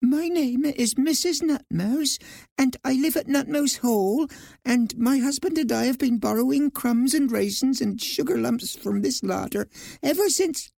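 A man's voice: very high-pitched, big pitch swings, unhurried, vocal fry, warm tone, no room sound, studio quality, pitched above the performer's own voice, exaggerated voice. A man voicing a prim, anxious little female mouse housewife in a storybook, nervous, pleading and indignant. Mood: nervously firm.

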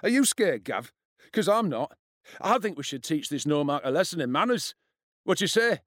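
A man's voice: a little high, very animated, normal speed, a bit bright, no echo, clean studio sound, pitched above the performer's own voice. A man voicing a cruel, swaggering schoolboy bully in an audiobook, sneering and taunting as he talks.

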